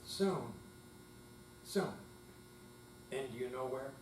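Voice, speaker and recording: A man's voice: mid-range voice, slow, natural voice. A man playing a tender, wistful old grandfather with plain, folksy phrasing on stage. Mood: earnest, hesitant. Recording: distant, echoey room with faint mains hum.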